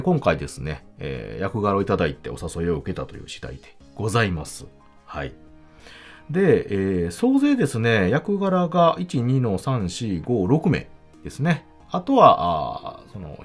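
Male podcast host, low register with very lively intonation, polite presenter phrasing in casual talk. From Kansai, Japan. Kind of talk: casual solo chat to listeners.

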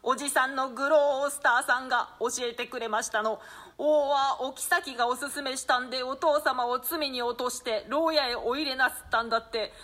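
A woman's voice: high-pitched, fairly even intonation, normal speed, fairly bright tone. A woman voicing a small, innocent orphaned child in a classic stage play, pleading and insistent.

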